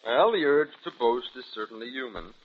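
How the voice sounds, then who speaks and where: An actor playing a polite, cautious hospital doctor in an old radio drama, measured and reserved. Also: male voice, medium pitch, animated, breathy edge. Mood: polite, wary. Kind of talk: 1950s radio drama acting. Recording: muffled vintage radio broadcast.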